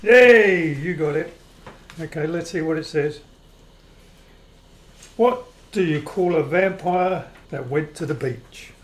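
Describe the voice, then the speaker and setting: A man's voice: a little high, animated, slow, long pauses, a bit bright. A man playing a cheerful teetotal vampire in an amateur radio play. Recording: noisy recording with clicks.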